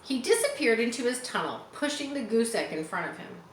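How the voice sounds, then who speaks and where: Woman reader, expressive children's read-aloud, lively pitch, explanatory asides, echoey room, faint noise. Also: brisk. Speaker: storybook reader.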